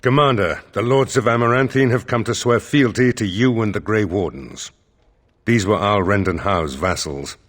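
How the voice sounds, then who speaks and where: An actor playing a dutiful, wary seneschal in a fantasy game, measured and deferential in his speech. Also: fairly low pitch, a bit bright. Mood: formal. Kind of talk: scripted fantasy game dialogue.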